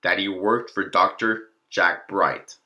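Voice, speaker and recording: A man's voice: low voice, fairly even intonation, slow, sharp tone, lo-fi sound. A man narrating a fictional classified document in a formal, clinical log-reading manner. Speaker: narrator and presenter of the channel.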